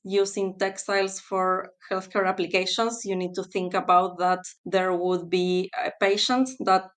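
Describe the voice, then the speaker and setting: Woman on a podcast, smooth clear voice, thinks out loud with lots of ums. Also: mid-range voice, flat delivery. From Argentina. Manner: thoughtful, with fillers and pauses. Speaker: guest, textile specialist. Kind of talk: podcast interview.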